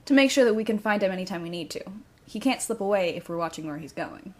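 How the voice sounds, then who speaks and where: A playful woman voiced by an actress in an audio drama. She is direct and a little bossy, teasing in a natural conversational way. Her pitch is average. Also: expressive, fast, lightly acted. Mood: direct, probing.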